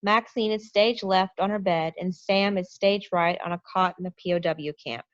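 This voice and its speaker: A woman's voice: on the low side, steady tone, normal speed, no room sound. A woman reading scripted stage directions for an amateur play reading over a video call. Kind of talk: scripted narration at table read. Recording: muffled dry video call.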